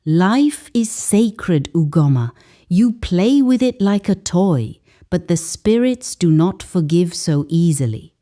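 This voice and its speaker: A woman voicing a solemn old male herbalist in a folktale, gravely admonishing. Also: on the low side, expressive, slow, warm tone. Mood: grave warning.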